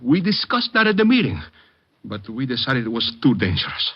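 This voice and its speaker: Husky, worried butcher. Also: male voice, mid-range voice, huge pitch swings, brisk. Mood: worried, earnest. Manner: naive, hesitant, broken English. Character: worried, earnest immigrant male neighborhood butcher. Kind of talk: 1940s-50s radio drama acting. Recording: muffled vintage radio recording.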